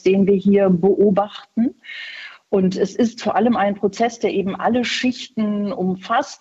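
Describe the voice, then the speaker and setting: Husky-voiced female professor explaining on radio. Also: fairly low pitch, dull audio. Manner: earnest, explanatory, lively tempo shifts. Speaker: professor of Islamic studies, expert guest.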